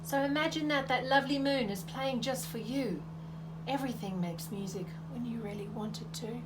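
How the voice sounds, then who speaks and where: A woman voicing a wise, kindly cricket who gently encourages in a children's storybook. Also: a little high, normal speed, heavy background noise, close to an everyday voice. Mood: gentle, encouraging. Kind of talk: children's storybook read-aloud.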